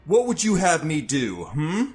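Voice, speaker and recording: A man's voice: fairly high pitch, wildly swooping pitch, measured pace, over music. A man playing a ruthless, bitter soldier and father figure in an audio drama, speaking with disdain and self-justification. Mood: indignant. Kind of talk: audio fiction character acting.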